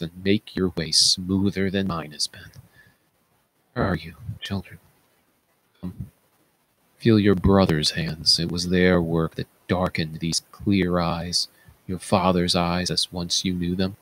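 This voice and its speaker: A man's voice: sits low, level tone, measured pace, a bit bright, rough recording. A man playing a blinded fallen king, an anguished and tender father, in a theatrical reading of Greek tragedy. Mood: grieving. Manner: theatrical, grief-laden declaiming. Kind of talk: read-aloud Greek tragedy.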